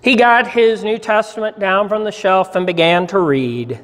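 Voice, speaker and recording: Male storyteller, 60s, pastor, high-pitched for a man, measured dramatic delivery, reverberant hall. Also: fairly bright tone. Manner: measured, deliberate storytelling with pauses. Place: church sanctuary, standing at a lectern.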